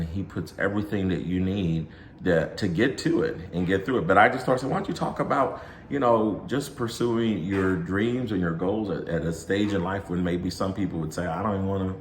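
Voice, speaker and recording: Man, co-host; very low voice, soft-spoken and affirming; echoey room. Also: fairly quick, a little hiss or hum. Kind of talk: casual faith talk.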